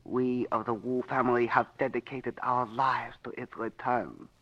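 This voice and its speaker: An actor playing a courteous, crafty merchant in a 1940s radio drama, formal and measured, with clipped grammar. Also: male voice, mid-range voice, steady tone, lightly acted. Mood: courteous, knowing. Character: courteous, crafty male Oriental merchant, coolly determined. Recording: dull, band-limited 1940s radio recording.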